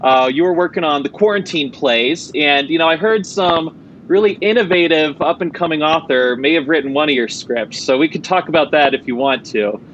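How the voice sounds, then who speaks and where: Male host, somewhat high voice, chatty and relaxed, muffled lo-fi audio. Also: moderate pace, a little hiss or hum. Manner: relaxed and chatty with filler words. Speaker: interview host. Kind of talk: casual interview chat.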